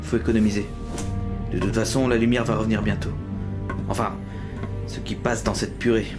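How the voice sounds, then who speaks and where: A man's voice: lowish voice, flat delivery, moderate pace, natural voice. A man voicing a blunt, wry former gunsmith turned raider in an audio drama, with music behind. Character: gruff young adult male raider, former gunsmith.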